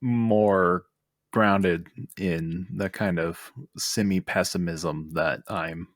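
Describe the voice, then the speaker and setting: A man's voice: sits low, steady tone, drawn-out words, dry recording, clean studio sound. A man co-hosting a casual group discussion. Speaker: podcast co-host.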